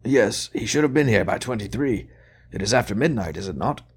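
A weary, gentle old churchman who speaks softly and kindly, worn thin by fever and age, as a male narrator puts him on. His voice sits low but is lifted a little above the reader's own. It is the quiet, careful speech of a frail elder giving grave news. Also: lively intonation, a little fast, husky. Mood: anxious. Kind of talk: audiobook character voice.

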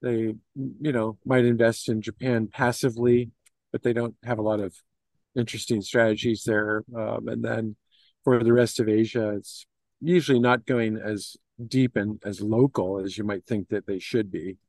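A man's voice: lowish voice, fairly even intonation, moderate pace, a little mellow, no echo. A middle-aged man answering questions in an informal business interview, with hesitant, meandering phrasing full of filler phrases. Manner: hesitant, meandering phrasing with many you-knows. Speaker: interview guest, investment firm executive.

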